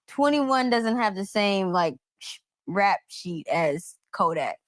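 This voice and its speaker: A woman's voice: medium pitch, animated, slow pace, no room sound, studio quality. A woman in a casual conversational debate, reasoning things out in slangy speech. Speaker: panelist.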